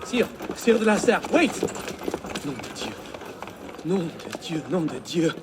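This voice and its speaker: Game bit part, flustered messenger, agitated pleading, high and very animated, outdoor noise behind. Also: male voice, a little slow. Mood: frantic. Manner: urgent, agitated, breathless pleading. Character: flustered, anxious French messenger man. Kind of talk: dramatic video game voice acting. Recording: noisy outdoor street with horses.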